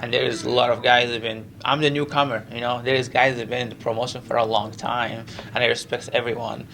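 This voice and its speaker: Gravelly Moroccan-accented male fighter. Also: mid-range voice, level tone, fast talker, fairly bright tone, a little hiss or hum. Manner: earnest, repetitive, non-native English with frequent 'you know'. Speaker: professional MMA fighter. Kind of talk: press interview, casual chat.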